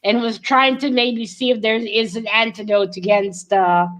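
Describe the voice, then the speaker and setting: A woman's voice: a little high, fairly even intonation, moderate pace, fairly bright tone, poor audio. A woman co-hosting a read-along in casual discussion, thinking aloud and speculating with some hesitation. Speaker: co-host of a book read-along.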